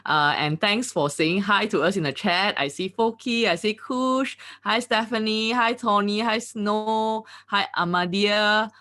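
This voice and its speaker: A woman's voice: mid-range voice, steady tone, measured pace, few pauses, pushed and bright. A woman hosting a live online webinar in an upbeat, chatty way, full of "you know, like, uh" fillers, over a video call mic. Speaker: webinar host.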